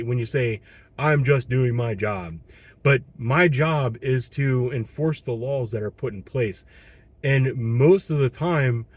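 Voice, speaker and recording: A man in his thirties with a Midwestern American accent, speaking at a middling pitch. He is a patrol police officer talking on his own, hoarse as he loses his voice, frustrated and earnest, with frequent ums and restarts. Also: a little mellow. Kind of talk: solo monologue commentary.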